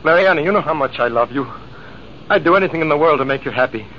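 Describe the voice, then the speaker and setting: An actor playing a jealous young army lieutenant who pleads and presses hard, in 1950s radio drama style. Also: male voice, a little high, expressive, quick pace, lightly acted. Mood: pleading. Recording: dull, hissy old radio broadcast.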